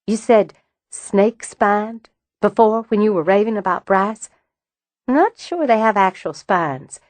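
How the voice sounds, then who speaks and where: A woman's voice: mid-range voice, lively intonation, slow pace, darkish tone, no echo, voice pushed up. A woman voicing a blunt, quirky young mother. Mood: musing.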